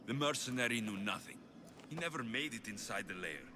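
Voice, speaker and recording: A man's voice: mid-range voice, lively intonation, brisk, lightly acted. A man playing a brave, blunt mercenary hero in a video game, resolute and direct. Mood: earnest. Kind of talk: dramatic video game acting.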